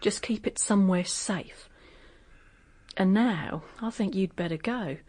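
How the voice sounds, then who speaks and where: A woman's voice: medium pitch, a little slow, darkish tone, lightly acted. An actress playing a tense, secretive, weary woman in a radio drama, speaking in short, urgent, evasive phrases.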